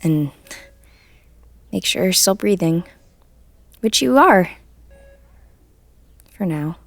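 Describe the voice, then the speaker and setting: An actress playing a blunt, guarded but caring friend in a deadpan, curt, naturalistic audio drama style. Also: female voice, fairly low pitch, takes it slowly, vocal fry, no echo, full character voice. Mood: dry, guarded.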